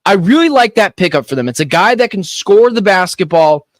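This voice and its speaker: High-voiced opinionated sports radio man. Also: dry recording, clean studio sound. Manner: animated, opinionated, quick asides. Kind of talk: sports betting radio talk. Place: radio studio. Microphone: studio microphone.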